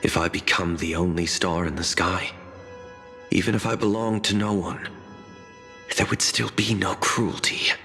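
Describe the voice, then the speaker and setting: A man's voice: sits low, level tone, measured pace, bright, forceful tone, soft background music, exaggerated voice. An actor voicing a proud, zealous would-be god-king in a video game, declaiming in a grandiose way. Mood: defiant, resolute. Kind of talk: dramatic video game voice acting.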